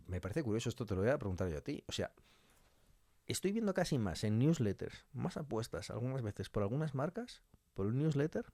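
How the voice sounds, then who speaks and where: A man from Spain with a fairly low voice and a Spanish accent, recorded dry and close. He speaks as a guest in a conversational interview, arguing his points through rhetorical questions and little imagined quotes. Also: mellow, dry recording. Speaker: interview guest, audio fiction writer. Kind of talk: conversational interview, giving opinions.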